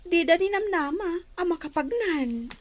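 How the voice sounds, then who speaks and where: A woman's voice: very high voice, expressive, measured pace. A woman acting a chatty, judgmental younger sister in an Ilocano radio drama. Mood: curious, disapproving.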